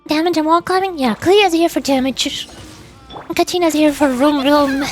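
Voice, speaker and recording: A woman streamer chatting casually about a video game in a rambling, playfully exasperated way, often halting and repeating words. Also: high-pitched. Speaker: streamer, VTuber. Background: background music.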